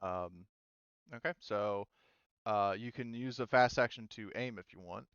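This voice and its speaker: Halting male game master, very clear voice. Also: fairly low pitch, fairly bright tone, dry recording, dull audio. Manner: halting, thinking aloud. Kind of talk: running a tabletop roleplaying game, explaining rules.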